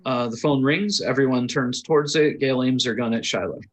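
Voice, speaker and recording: A man's voice: mid-range voice, moderate pace. A man reading scripted stage directions aloud as narrator at a table read.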